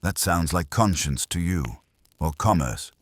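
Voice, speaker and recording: A man's voice: deep voice, animated, measured pace, no room sound. A man reading the part of a wisecracking private detective's legman in an audiobook, wry, blunt and persuasive. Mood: insistent.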